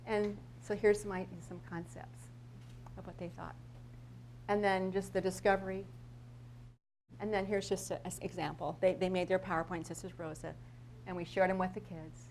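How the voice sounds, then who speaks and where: A woman's voice: medium pitch, darkish tone, low-quality recording. An American woman giving an informal live presentation as a school principal, telling stories in a conversational, rambling way and often rephrasing mid-sentence. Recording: live talk with background noise and hum.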